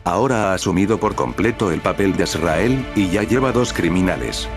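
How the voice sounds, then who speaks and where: A man narrating a scripted horror recap over dark music. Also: low voice, lively intonation, moderate pace. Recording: background music under the narration.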